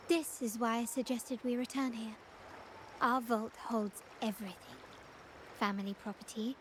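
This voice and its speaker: A woman playing a poised young noblewoman in a video game, speaking in a measured, formal, commanding way. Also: on the high side, a little hiss or hum, natural voice. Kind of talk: scripted video game character acting.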